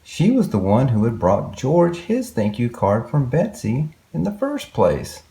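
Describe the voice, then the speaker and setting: A man's voice: mid-range voice, huge pitch swings, a little slow, gravelly, warm tone. A man reading a children's story aloud expressively, with exclamations and wondering asides to young listeners.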